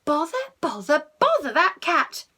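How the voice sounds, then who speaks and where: A woman voicing an exasperated grown-up in a children's storybook, scolding in a loud, drawn-out way. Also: high voice, very animated, voice pushed up, heavily acted.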